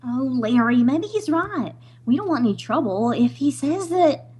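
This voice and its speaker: A woman's voice: sits high, lively intonation, moderate pace, a little mellow, slightly rough. A woman playing a nervous, easily spooked young wife in an old-time radio comedy, anxious and wryly pleading. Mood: uneasy, pleading.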